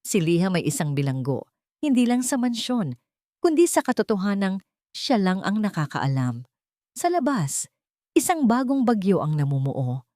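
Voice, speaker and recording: A woman narrating a scripted drama story in an expressive, suspenseful way. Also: medium pitch, moderate pace, long pauses, no room sound, clean studio sound.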